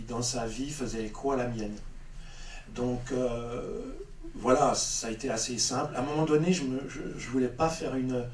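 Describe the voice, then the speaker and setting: A man's voice: on the low side, darkish tone. A middle-aged man, a film director, answering audience questions at a screening with many fillers and restarts. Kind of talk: spontaneous Q&A answers. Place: cinema hall. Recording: echoey cinema hall, distant mic, faint noise.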